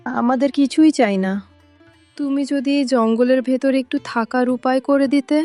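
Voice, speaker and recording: A woman's voice: high-pitched, steady tone, normal speed, darkish tone, dry recording, soft background music, natural voice. A woman playing a meek, tender young mother in a cartoon moral story, speaking plaintively and humbly. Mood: humble. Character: meek, pleading young mother cast out with her daughter.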